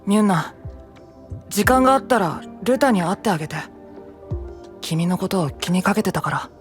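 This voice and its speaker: High-voiced, cool young knight. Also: male voice, expressive, normal speed, faint music behind. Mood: cool, blunt. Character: cool, guarded, perceptive young male knight. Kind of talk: anime-style voice drama acting.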